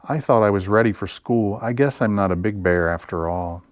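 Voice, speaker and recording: A man's voice: on the low side, moderate pace, dry recording, lightly acted. Man reading as an earnest little boy bear. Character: earnest young boy bear starting school.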